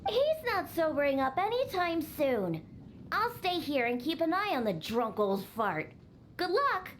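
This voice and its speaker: A woman's voice: high voice, very animated, a little slow, a bit bright, a little hiss or hum, heavily acted. A woman voicing a bossy, exasperated young cat-girl daughter in a video game, scolding and matter-of-fact. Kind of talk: video game character acting. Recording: faint game music behind the voice. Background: game music.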